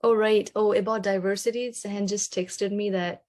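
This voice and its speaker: A woman's voice: mid-range voice, fairly even intonation, moderate pace, a little mellow. A young woman from Nepal, a graduate student talking casually and hesitantly in an online session, with many ums.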